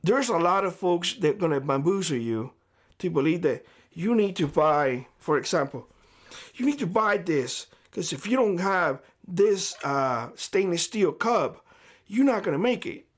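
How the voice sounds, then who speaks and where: A man speaking straight to camera in a vlog, giving blunt, lecturing advice in a hoarse voice. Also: high voice, lively intonation, unhurried. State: hoarse, losing his voice. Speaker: prepper YouTuber. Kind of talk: direct-to-camera vlog monologue. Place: homestead.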